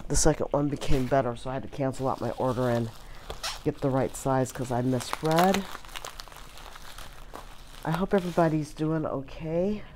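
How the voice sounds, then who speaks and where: A hoarse woman chatting casually through an unboxing, with many filler words. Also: deep voice, darkish tone, a little hiss or hum. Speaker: vlogger.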